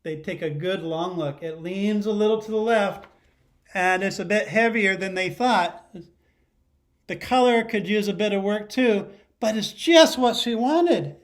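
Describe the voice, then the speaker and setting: A middle-aged man reads a picture book aloud as a teacher would. His voice sits high for a man and he takes his time. He tells the story expressively and pauses to put questions to his young listeners. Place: living room at home.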